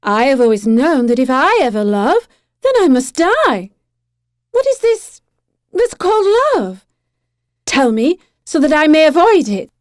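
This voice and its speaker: A woman acts out a proud young Siren of a radio play, dangerous and alluring. The voice is high and slow, recorded very dry. Every phrase is dramatic and commanding. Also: sing-song, no room sound. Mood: cool, curious. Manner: imperious, dramatic, deliberate. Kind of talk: audio drama acting.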